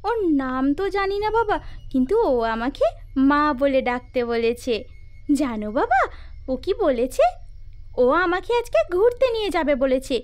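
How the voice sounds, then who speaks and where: A woman voicing an innocent, dreamy little girl in an animated ghost story, with a childlike, pleading manner. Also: high voice, measured pace, soft background music. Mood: entranced, eager.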